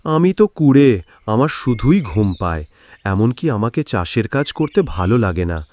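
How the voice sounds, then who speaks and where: A man voicing a lazy, sleepy, good-natured farmer in a cartoon moral story, with whiny, drawn-out exclamations. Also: medium pitch, big pitch swings, darkish tone, close to an everyday voice. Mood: plaintive. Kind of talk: animated moral story voice acting.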